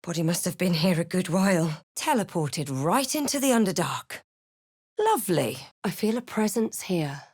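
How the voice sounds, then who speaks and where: Measured, snarky fantasy adventurer woman. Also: fairly low pitch, expressive, a little mellow, husky, no echo, studio quality. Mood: dry, observant. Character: female fantasy adventurer, snarky, observant, thoughtful. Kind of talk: scripted game character lines and narration.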